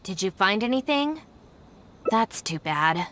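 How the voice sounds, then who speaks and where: A woman playing a composed, assertive young female gang deputy in a video game, speaking briskly and firmly. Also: medium pitch, very animated, fairly bright tone, slight background noise. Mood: businesslike, concerned. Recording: faint music behind the voice.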